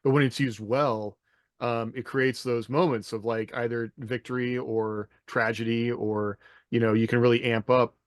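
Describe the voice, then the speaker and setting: A man's voice: mid-range voice, fairly even intonation, moderate pace, no room sound, clean studio sound. A middle-aged man in a casual podcast discussion, thinking aloud in a loosely structured way. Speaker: podcast co-host. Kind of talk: casual panel discussion of writing. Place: indoor room on a video call.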